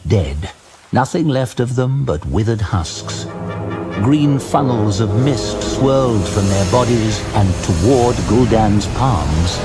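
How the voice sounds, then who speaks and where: Low, slow-paced male fantasy narrator. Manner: measured, grave storytelling. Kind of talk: dramatic scripted fantasy narration.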